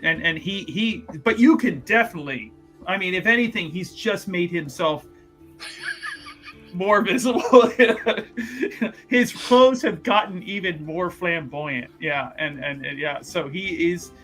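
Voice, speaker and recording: A man's voice: high-pitched, unhurried, pushed and bright, soft background music. A man narrating a tabletop game as game master, rambling and joking with many fillers.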